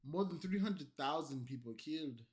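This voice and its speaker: A man streaming late at night, tipsy from alcohol and an energy drink, delivering a loud, profane, rambling rant at his chat. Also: a little high, lively intonation, moderate pace. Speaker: streamer reacting to clips.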